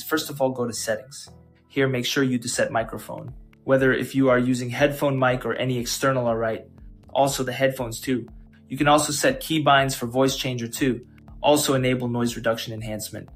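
A man's voice: medium pitch, steady tone, fairly quick. Man narrating a tech tutorial over music. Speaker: tech channel host. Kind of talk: scripted software tutorial narration. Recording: music behind.

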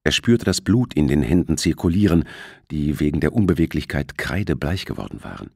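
Low-pitched, expressive male audiobook narrator. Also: brisk, gravelly, slightly rough, no echo, studio quality. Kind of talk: scripted thriller narration.

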